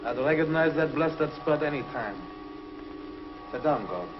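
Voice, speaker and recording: A man's voice: a little high, animated, moderate pace, music in the background, natural voice. An actor playing a sneering, threatening male space villain in a 1950s TV drama, on a muffled old soundtrack. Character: menacing male space villain holding ship crew hostage.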